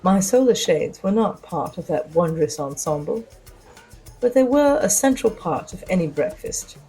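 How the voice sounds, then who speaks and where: Woman playing a suave, arch female spy, lowish voice. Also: animated, warm tone, soft background music, rough recording. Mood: composed, sly. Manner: arch, wry, theatrical with deliberate pauses. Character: suave, witty, self-assured female spy and socialite. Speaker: narrator of an audio drama. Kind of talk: first-person scripted dramatic narration.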